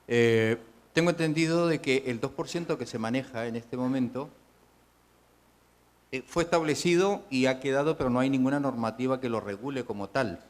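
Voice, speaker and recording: A man's voice: medium pitch, moderate pace. Man asking a question from the floor. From Spain and Chile. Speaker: audience member, real estate broker.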